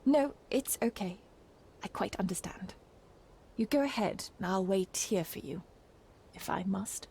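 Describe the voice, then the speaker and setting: Creaky, mellow-voiced sceptical lady. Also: mid-range voice, lively intonation, measured pace, pauses often, slight background noise. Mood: resigned. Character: cool, sceptical, science-minded lady in 1920s drama. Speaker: character actor in a video game. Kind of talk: dramatic game cutscene dialogue.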